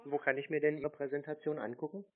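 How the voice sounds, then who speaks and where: A deadpan, teasing speaker on a prank phone call. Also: mid-range voice, fast talker. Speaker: prank call recipient, show host. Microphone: telephone call. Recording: tinny narrow-band phone line.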